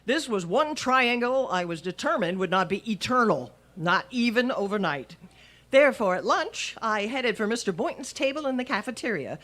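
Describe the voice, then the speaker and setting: A woman's voice: on the high side, expressive, normal speed, fairly bright tone. A woman playing a wry, self-deprecating schoolteacher with dry asides in an old-time radio comedy.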